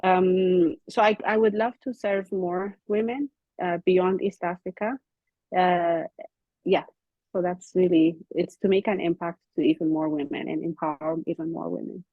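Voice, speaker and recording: A woman's voice: fairly low pitch, fairly even intonation, moderate pace, dry recording. Woman giving hair advice over a video call. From Ethiopia. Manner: earnest, explanatory, with many ums. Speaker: founder of a hair care platform, guest. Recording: dull, slightly lo-fi call audio.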